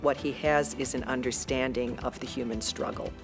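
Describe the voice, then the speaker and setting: A woman's voice: very deep voice, fairly even intonation, normal speed, over music. A woman from the university introducing a student and speaking admiringly of him in a documentary. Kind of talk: documentary interview and event introduction.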